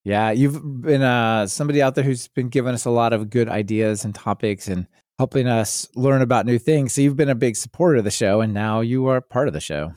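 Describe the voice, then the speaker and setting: A man's voice: mid-range voice, a little fast, hardly any pauses, a little mellow, no room sound, clean studio sound. A man hosting a podcast, talking casually about tech. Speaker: podcast host.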